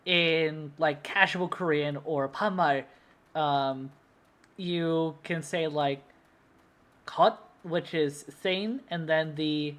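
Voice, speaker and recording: A young adult streamer from the United States chatting casually at a desk mic, rambling with lots of fillers and laughing easily. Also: mid-range voice. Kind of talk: casual livestream chat.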